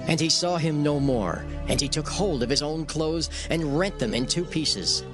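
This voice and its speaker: A man's voice: a little high, normal speed, music in the background. A man narrating dramatized scripture, telling the archaic text as formal storytelling.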